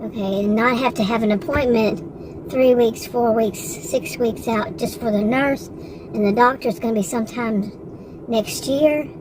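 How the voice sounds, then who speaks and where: A woman's voice: fairly high pitch, steady tone, a little slow, background noise. Frustrated woman in her sixties, rambling. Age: 60s. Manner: rambling, frustrated, with many restarts and asides. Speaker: vlogger. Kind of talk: casual monologue to camera.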